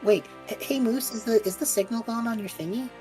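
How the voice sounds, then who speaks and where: A woman's voice: a little high, a little fast, music in the background. A woman chatting casually in character as a player in a tabletop game. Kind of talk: casual in-character role-play chat.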